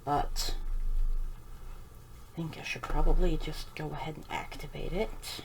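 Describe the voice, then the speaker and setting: A woman hosting a coloring channel, talking quietly to herself as she works, often half-whispering. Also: low-pitched, steady tone, a little slow, gravelly, a little hiss or hum. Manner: soft-spoken, half-whispered musing to herself. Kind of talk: quiet narration while coloring.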